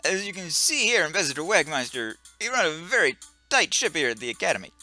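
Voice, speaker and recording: A man voicing a pompous middle-aged starship captain with stiff, showy flattery. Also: fairly high pitch, fast talker, soft background music, exaggerated voice. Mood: flattering.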